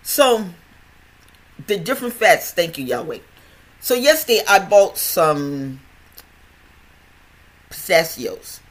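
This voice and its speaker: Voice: mid-range voice, big pitch swings, slow, pauses often, a bit bright. An older podcast host with a stutter, talking in a chatty, rambling way with many restarts and repeated words. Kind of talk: casual health podcast monologue.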